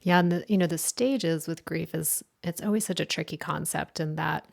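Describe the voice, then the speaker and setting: Low gravelly-voiced woman podcast host. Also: normal speed. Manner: reflective, thoughtful follow-up questions. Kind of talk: interview conversation.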